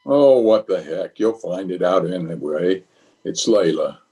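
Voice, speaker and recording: A man's voice: deep voice, animated, a little slow, dark tone, breathy edge, heavily acted. A man playing a flustered, blustering middle-aged bachelor in an old-time radio comedy, hemming and sheepish. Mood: flustered, evasive.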